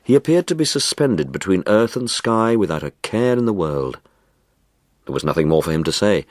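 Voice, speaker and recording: Deep-voiced male storyteller, expressive. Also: moderate pace, dry recording, clean studio sound. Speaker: story reader. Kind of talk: dramatic scripted narration of a short story.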